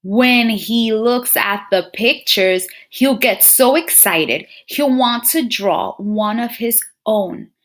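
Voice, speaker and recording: A woman teacher reading a picture book aloud to young children, expressively, pausing to muse and put questions straight to her listeners. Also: fairly high pitch. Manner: expressive, addresses children directly with frequent questions and musing hums.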